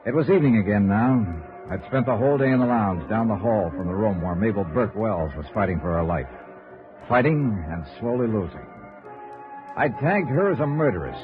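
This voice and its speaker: A male actor playing a cool, hard-boiled insurance investigator in a 1950s radio noir, clipped and matter-of-fact. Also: fairly low pitch. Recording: dull vintage radio recording, music behind.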